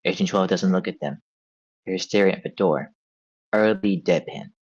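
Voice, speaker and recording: Very deep-voiced man reading stage directions. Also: moderate pace, a little mellow, breathy edge, dry recording, dull audio. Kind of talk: scripted narration at a table read.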